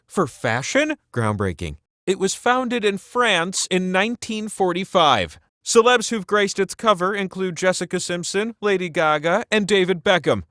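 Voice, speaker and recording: Talking wheel host for a video game, high voice, super theatrical and sassy, very dry sound. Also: male voice, fairly bright tone, no echo. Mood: smug, sassy. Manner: campy, dramatic, playful delivery. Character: sentient talking game-show wheel, godlike, flamboyant and vain. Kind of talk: theatrical game-show narration.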